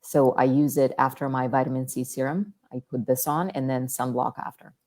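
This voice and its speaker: A woman guest with a deep, calm, soothing voice, chatting in an easygoing way about her skincare routine. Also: a little fast. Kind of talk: casual chat about skincare.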